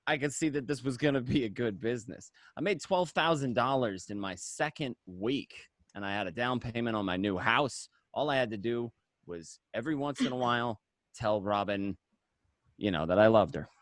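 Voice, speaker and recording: A man plays a hustling gangster in a radio drama. The delivery swings widely in pitch, stammering and insistent, always quick to reassure. The sound is dry and close, with a medium-pitched voice. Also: lively intonation, no room sound, close to an everyday voice. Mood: matter-of-fact. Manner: stammering, insistent, repeats words. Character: defensive, hustling young male gangster, eager to reassure. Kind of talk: amateur radio drama table read.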